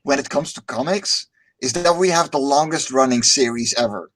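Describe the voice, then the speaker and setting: A man's voice: medium pitch, normal speed, a bit bright, a little hiss or hum. A Dutch man hosting a casual livestream chat, upbeat and joking, often repeating words as he starts a sentence. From Netherlands. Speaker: stream host.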